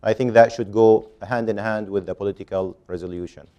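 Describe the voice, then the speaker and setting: A man on a panel answering audience questions, speaking haltingly with many fillers and restarts. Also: on the low side, fairly even intonation, dark tone.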